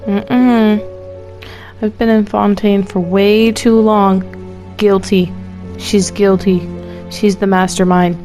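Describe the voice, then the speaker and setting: A woman's voice: medium pitch, level tone, slow pace, pauses often, mellow, faint music behind. A woman streamer giving casual, slangy commentary on a game, playfully suspicious and talking back to the characters. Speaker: streamer playing and reacting to a game. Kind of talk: casual live gameplay commentary.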